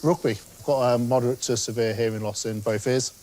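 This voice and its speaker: A laid-back man chats modestly, mid-pitched voice. Frying and sizzling sound faintly behind him. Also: fairly even intonation, brisk, darkish tone, a little hiss or hum. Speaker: contestant. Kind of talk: casual interview.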